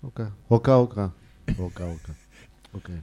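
Guy playing an eager dim argentine wannabe singer, lowish voice, stubborn, radio play. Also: a little slow, dark tone. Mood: agreeable. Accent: Argentine Rioplatense. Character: stubborn, naive male would-be singer. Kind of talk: improvised radio drama.

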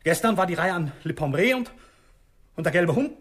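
A frightened bourgeois doctor in an old radio play. His husky voice moves restlessly up and down, and he halts and repeats words, indignant and sorry for himself. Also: sits high, lively intonation, brisk. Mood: uneasy, brooding. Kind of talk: 1960s German radio drama acting.

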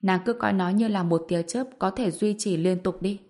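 A woman's voice: medium pitch, moderate pace, a little mellow, lightly acted. A woman voicing a proud, playful male inventor and household master, easygoing and a little smug, in an audiobook. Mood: pleased, teasing.

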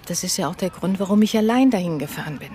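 A woman's voice: lowish voice, expressive, fast talker, dark tone, faint music behind, close to an everyday voice. Protective, shaken mother played by a woman. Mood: subdued, grave. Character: protective mother, shaken by her daughter's kidnapping. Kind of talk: German radio crime drama acting.

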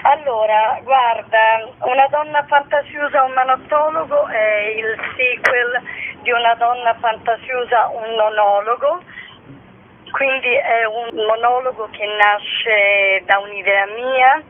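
Slow-talking woman on a muffled phone line. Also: fairly high pitch, steady tone. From Sicily, Italy. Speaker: actress and monologue writer, interview guest. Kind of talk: casual promotional radio interview. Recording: band-limited phone-like radio line.

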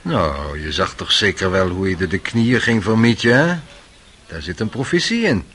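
A man's voice: low voice, expressive, normal speed, fairly bright tone, slightly rough, full character voice. An actor playing a cynical, worldly young man in a radio drama, sly and needling in manner. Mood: sardonic. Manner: sardonic, insinuating.

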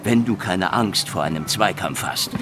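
A man's voice: low-pitched, expressive, moderate pace, very noisy. A man playing a cunning, hard-drinking old private detective in a hard-boiled radio drama, taunting and wordy in his wisecracks. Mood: menacing, mocking. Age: older.

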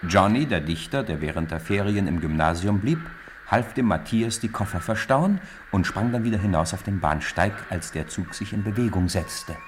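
A man narrating a radio play in a calm, even storyteller's delivery. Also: low-pitched, normal speed, noisy background.

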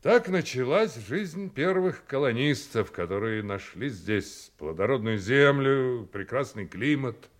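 Animated townsman storyteller , acted by a man. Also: medium pitch, measured pace, hardly any pauses, natural voice. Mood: solemn storytelling. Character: proud male townsman telling a founding legend. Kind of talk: theatrical storytelling in an audio play.

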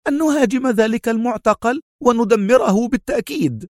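A man's voice: very high-pitched, lively intonation, fairly quick, a little mellow, no echo, clean studio sound, pitched above the performer's own voice. A man voicing a fiery, impatient young woman agent in a dramatic audio story, speaking in an agitated, insistent way. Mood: angry, exasperated.

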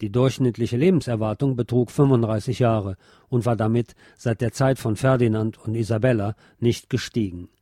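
Male narrator, mellow tone, mid-pitched, measured factual delivery, scripted history reading, dry close sound. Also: fairly even intonation, no echo. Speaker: podcast host and narrator.

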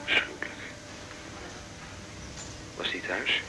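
An actor playing a busy, supportive man in a radio drama, brisk and reassuring, heard over a phone call. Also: music in the background. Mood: concerned, urgent. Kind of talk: naturalistic radio drama acting.

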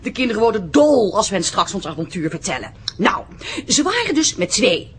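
An actress playing an excitable, bossy Dutch tourist wife with a Netherlands accent, effusive and theatrical, in an audio drama. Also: female voice, mid-range voice, a little fast, slight background noise, close to an everyday voice. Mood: excited, gushing.

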